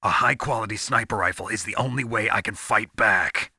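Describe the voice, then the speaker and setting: An actor voicing a gruff, hardened soldier in a video game, terse and cynical, with a gravelly rasp. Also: male voice, lowish voice, fairly quick, pushed and bright, dry recording, clean studio sound, full character voice. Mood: urgent. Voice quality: gravelly, raspy.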